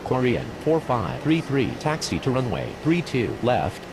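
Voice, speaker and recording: Gravelly and lively in pitch, an actor plays a male pilot and controller. He gives formulaic radio calls over clear noise and vehicle rumble. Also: unhurried. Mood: businesslike. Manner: procedural, formulaic. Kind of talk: scripted aviation radio phraseology. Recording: noisy, with vehicle rumble behind.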